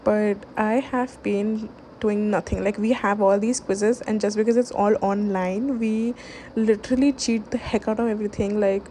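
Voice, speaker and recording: A young woman vlogging late at night at home, speaking in a hushed voice and rambling with many fillers and restarts. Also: mid-range voice, fairly even intonation, normal speed, a little hiss or hum, rough recording. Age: young adult. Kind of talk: late-night casual chat.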